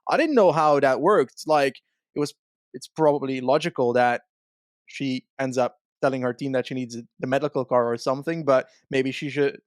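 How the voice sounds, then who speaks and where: A man's voice: a little high, no room sound, clean studio sound. A man co-hosting a podcast, explaining his points in a casual, analytical way with examples. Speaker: podcast co-host.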